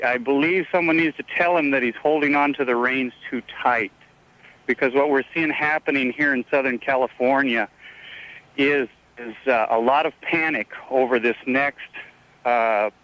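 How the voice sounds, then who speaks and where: A man calling in to a radio show, speaking earnestly and pausing hesitantly mid-sentence over the phone. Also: mid-range voice, fairly bright tone. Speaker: phone-in caller. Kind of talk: unscripted radio call-in. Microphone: telephone. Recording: dull phone call on radio.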